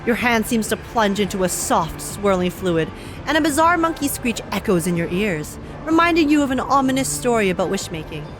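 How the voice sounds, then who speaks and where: A young woman streaming a game, reacting and reading aloud in a playful, expressive way. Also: medium pitch, fairly quick. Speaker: gaming streamer. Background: game music.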